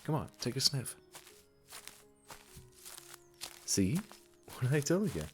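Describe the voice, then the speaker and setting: A man playing a gentle, wise garden keeper in a comforting audio roleplay, speaking in a soothing, affectionate and encouraging way. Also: medium pitch, big pitch swings, moderate pace, soft background music. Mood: gentle, reassuring.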